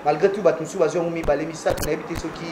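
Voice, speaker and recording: Quick-talking Congolese man in an echoey room. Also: fairly high pitch, steady tone. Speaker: interviewer, journalist. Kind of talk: conversational interviewing. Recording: roomy echo, clear background noise.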